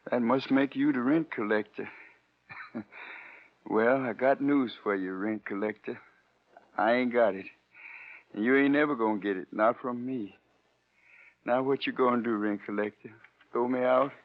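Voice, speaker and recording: An actor playing a weary, bitter poor man who is dying, speaking weakly and haltingly in folksy slang in a 1940s radio drama. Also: mid-range voice, close to an everyday voice. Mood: bitter, wary. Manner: weak, halting, folksy slang, wryly mocking. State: weak, failing breath. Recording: dull vintage radio recording.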